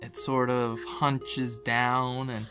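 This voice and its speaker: A man's voice: medium pitch, level tone, very slow. A man casually narrating an improvised tabletop game as game master, playful and conversational. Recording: music bed behind the voice.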